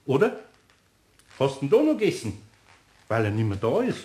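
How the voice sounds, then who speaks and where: An actor playing a good-natured, gruffly patient older craftsman with a Bavarian accent in a children's radio play, homely and mildly scolding. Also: mid-range voice, huge pitch swings, normal speed, dark tone. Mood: teasing.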